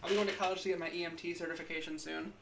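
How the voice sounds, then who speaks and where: A woman's voice: fairly low pitch, fairly even intonation, racing, creaky, background noise. A woman in her twenties co-hosting a casual podcast, rambling with lots of likes and ums. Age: 20s. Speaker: podcast co-host.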